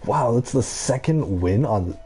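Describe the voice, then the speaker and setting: A man with a low-pitched voice giving casual gameplay commentary, rambling in a halting way and circling back on his thoughts. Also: animated, warm tone. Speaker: gaming video creator.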